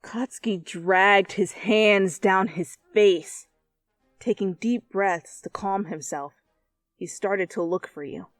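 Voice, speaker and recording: A woman reading fan fiction as an expressive, dramatic narrator who swears freely. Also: mid-range voice, takes it slowly, no echo. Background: soft music.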